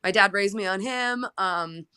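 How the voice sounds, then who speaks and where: A woman's voice: medium pitch, unhurried, pushed and bright, clean tone. A young woman, a musician being interviewed, chatting casually and with enthusiasm. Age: young adult. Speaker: guest musician, singer and guitarist.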